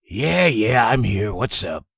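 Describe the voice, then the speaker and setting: A man playing a grumbling young slacker superhero in a comic dub, with a rough voice and an informal, whiny manner. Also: fairly low pitch, sing-song, slow pace, a bit bright, no room sound, clean studio sound, full character voice. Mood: sheepish. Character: grumbling slacker superhero with stumpy rock fingers.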